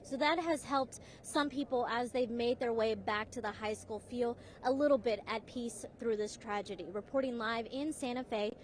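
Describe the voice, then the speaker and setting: A woman's voice: on the high side, moderate pace, hardly any pauses, slight background noise. A woman working as a field reporter, delivering broadcast news reports in a scripted, on-location style. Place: outdoors at a memorial for live reports.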